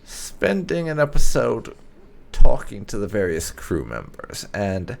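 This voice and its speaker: A man's voice: fairly low pitch, gravelly. A man hosting a gaming channel, giving casual let's play commentary.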